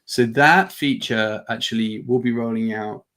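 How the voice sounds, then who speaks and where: A man giving an informal live software demo as a webinar presenter, halting and thinking aloud as he goes. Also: medium pitch.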